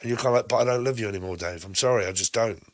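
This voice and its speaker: A British man with a hoarse voice from a lingering cough, giving a casual, rambling tarot reading and acting out both sides of imagined conversations. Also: lowish voice, racing, no echo, clean studio sound. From Britain. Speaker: tarot reader.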